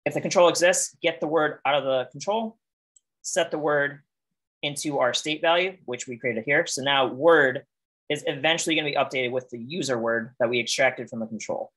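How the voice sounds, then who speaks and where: A man's voice: on the high side, moderate pace, a bit bright, rough recording. A man teaching a live coding class over a video call, explaining step by step with fillers while he is losing his voice. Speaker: coding instructor.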